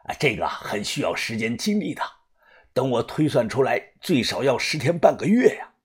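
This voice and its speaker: Man acting a husky, grave old fortune-teller. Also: medium pitch, very animated, normal speed, a bit bright, pitched below the performer's own voice. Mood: grave, low. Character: grave old male fortune-telling master, cautious and superstitious.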